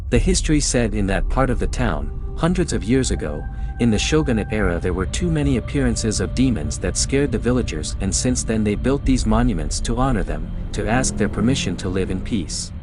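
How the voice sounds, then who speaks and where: A man from Mexico with a Mexican accent, Spanish his first language, slowly narrating a horror short story. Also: on the low side. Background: faint music.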